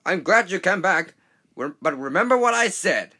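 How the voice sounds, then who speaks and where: A man's voice: high voice, animated, moderate pace, pushed and bright, pitched above the performer's own voice, heavily acted. A man voicing a pompous, cross steam engine in a children's storybook, scolding indignantly. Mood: stern.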